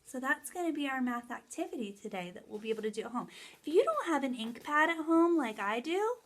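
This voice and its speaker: A woman's voice: sits high, very animated, brisk. A woman teacher leading a story time lesson for young children, explaining things step by step in simple phrases with key words stressed. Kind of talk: children's lesson, read-aloud and activity instructions.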